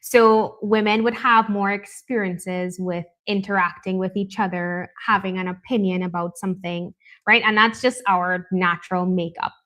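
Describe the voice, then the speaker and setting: A woman from Canada speaks as a talk show guest, sharing her own experience in an open, reflective way. She talks in a relaxed conversational rhythm, often checking in with a quick "right?". Her voice sits at an ordinary middle pitch. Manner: candid, reflective, frequent "right?" tags. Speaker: guest, life coach. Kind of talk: conversational interview.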